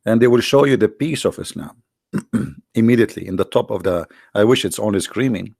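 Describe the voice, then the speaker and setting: Host, fairly low voice, dry close sound, scornful and emphatic delivery. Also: male voice, fairly quick, vocal fry, a little mellow, dry recording. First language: Arabic. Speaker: online debate host. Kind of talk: combative commentary to viewers.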